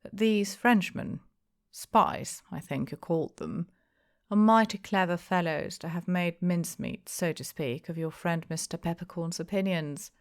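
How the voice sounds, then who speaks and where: A woman voicing a courteous gentleman stranger in an audiobook, quiet and polished, with gently mocking politeness. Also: lowish voice, unhurried. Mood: quietly mocking.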